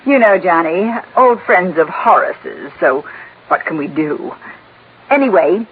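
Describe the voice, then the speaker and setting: An actress playing a gracious, chatty, wealthy American wife and hostess in a mid-century radio drama. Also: female voice, on the low side, sing-song, measured pace, husky, full character voice. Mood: chatty, admiring. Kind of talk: 1950s-60s American radio drama acting. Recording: thin, muffled old radio recording.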